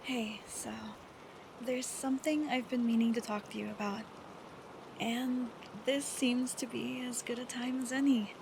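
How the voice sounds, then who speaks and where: A young woman acting an affectionate, teasing best friend who is nervously smitten, in a soft, hesitant, intimate roleplay style with rain behind her. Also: a little high, measured pace, gravelly, noisy background. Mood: shy, confessional. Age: young adult. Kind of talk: intimate roleplay audio acting, girlfriend-experience monologue. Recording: steady rain sound effects behind voice.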